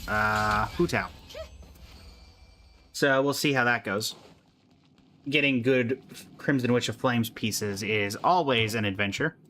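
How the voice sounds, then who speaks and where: A man's voice: medium pitch, bright, forceful tone, a little hiss or hum. A man streaming a video game, giving casual live commentary, greeting viewers and making playful asides to himself and the characters.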